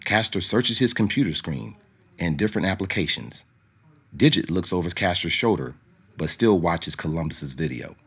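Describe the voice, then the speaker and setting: A man reading scripted radio drama narration in a measured, explanatory way. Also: low-pitched, lively intonation, fairly bright tone, husky. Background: music.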